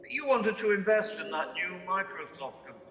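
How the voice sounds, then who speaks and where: A man's voice: sits high, lively intonation, fairly quick, a bit bright, muffled recording. A man playing a stern, accusing ghost in an amateur radio play, speaking solemnly through ghostly reverb. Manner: solemn, probing, commanding. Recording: echoey, long reverb.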